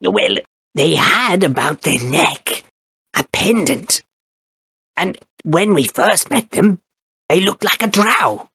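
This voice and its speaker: A stammering, husky bird-folk cleric, played by a man in a tabletop game. His voice has a gravelly fry and a breathy rasp. He grows solemn when he speaks of his goddess. It is recorded dry and close. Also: sits high, animated, slow pace, sharp tone, no echo, exaggerated voice. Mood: earnest. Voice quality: husky, raspy. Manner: stammering, hesitant, then solemn when he preaches. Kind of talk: tabletop character interview.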